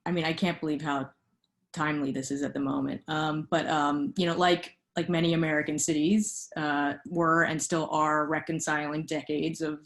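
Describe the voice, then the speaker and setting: Interview guest: thirties woman, low-pitched, thoughtful, filler-heavy delivery. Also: level tone, normal speed. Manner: reflective, with many fillers. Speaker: guest, lighting designer. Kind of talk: conversational interview.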